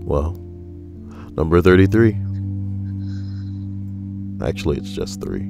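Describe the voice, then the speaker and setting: A man co-hosting a casual podcast, reading out strangers' stories with wry, deadpan, rambling asides. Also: low-pitched, slow, vocal fry, over music. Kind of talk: casual joking commentary.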